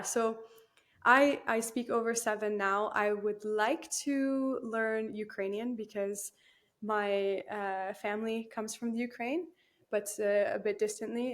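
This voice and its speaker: A young woman, chatting as a guest in an interview, laughing easily and using lots of fillers. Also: fairly high pitch. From Canada. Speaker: guest, new team member. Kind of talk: casual interview chat.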